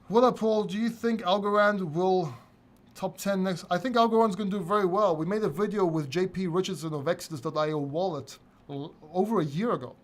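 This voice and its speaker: A man's voice: high-pitched, fairly quick. A man from Greece hosting a casual live crypto Q&A, reading viewer questions from chat and answering them candidly, sometimes swearing. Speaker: crypto channel host.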